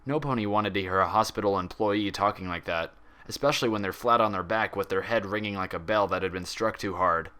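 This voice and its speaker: A man reading a story aloud as scripted, expressive narration. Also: on the low side, fairly quick, clear, resonant tone. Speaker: fan fiction reader.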